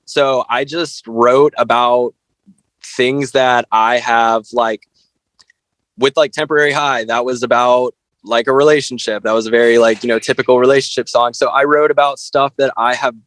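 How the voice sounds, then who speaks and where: Casual, halting man in a dry interview. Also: mid-range voice, steady tone, fairly bright tone, dry recording. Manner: halting, informal, peppered with "like". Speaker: interview guest, band frontman.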